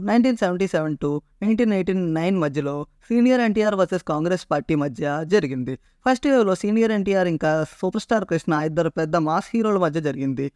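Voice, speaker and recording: A man's voice: sits high, no room sound, studio quality. A man presenting a scripted explainer as a video narrator.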